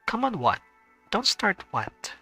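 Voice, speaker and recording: A man voicing a sharp-tongued teenage schoolgirl in a visual novel, with clipped, sarcastic retorts. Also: high voice, wildly swooping pitch, slow pace, faint music behind, voice pushed up, full character voice.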